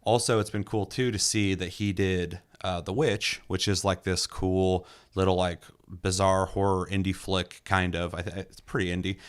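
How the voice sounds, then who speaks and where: A young man casually reviewing a movie in a relaxed, enthusiastic way, with lots of fillers and the odd curse. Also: low-pitched, normal speed, few pauses, clear, resonant tone. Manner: loose and enthusiastic, many fillers, occasional swearing. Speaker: movie reviewer, podcast host. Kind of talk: casual film review chat.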